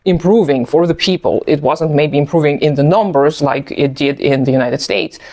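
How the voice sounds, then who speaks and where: A man in his thirties whose first language is Russian, giving thoughtful, measured personal commentary in English with an occasional soft laugh. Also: fairly high pitch. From post-Soviet country. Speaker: video essayist.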